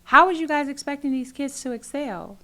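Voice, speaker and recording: A woman's voice: high-pitched, lively intonation, a little fast, fairly bright tone. A woman in her forties, a community organizer talking as an interview guest in an earnest, emphatic way, often repeating phrases for weight. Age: 40s. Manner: earnest, emphatic storytelling with repetition. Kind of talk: casual interview talk.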